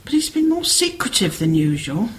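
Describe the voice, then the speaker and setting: Actress as chatty village sister, radio play, persuasive, very lively intonation, faint background noise. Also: female voice, medium pitch, normal speed, lightly acted. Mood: confiding, worried. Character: Martha, chatty, concerned village woman, sister seeking help. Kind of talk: amateur radio play acting.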